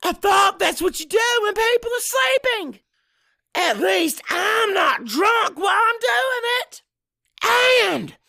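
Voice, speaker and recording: A woman's voice: very high-pitched, gravelly, bright, forceful tone, dry recording, studio quality, exaggerated voice. An actress playing a bitter, fed-up Cajun wife and mother in an audiobook drama, biting and sarcastic.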